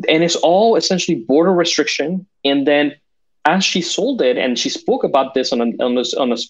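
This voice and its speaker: A man's voice: on the high side, expressive, moderate pace. Man from Venezuela, dull lo-fi sound. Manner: passionate, many restarts and fillers. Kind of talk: political discussion.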